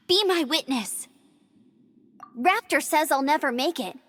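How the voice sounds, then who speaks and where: A woman playing a plucky, energetic young outrider in an anime-style video game, with a perky, earnest, dramatic delivery. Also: high-pitched, takes it slowly, full character voice. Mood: determined. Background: music.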